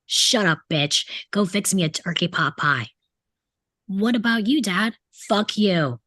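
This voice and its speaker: A woman's voice: mid-range voice, expressive, takes it slowly, sharp tone, no room sound, studio quality, heavily acted. A woman playing a bitter, sarcastic teenage delinquent boy who mocks his family in a script table read. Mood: furious mocking. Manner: sarcastic, aggressive, mimics parents in mock voices.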